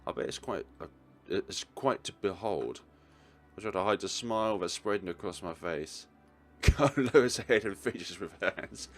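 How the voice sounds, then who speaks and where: Very deep-voiced man, lively game playthrough. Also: moderate pace. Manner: casual asides and short reactions. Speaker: let's player. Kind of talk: reading game narration and dialogue aloud. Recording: faint game music behind.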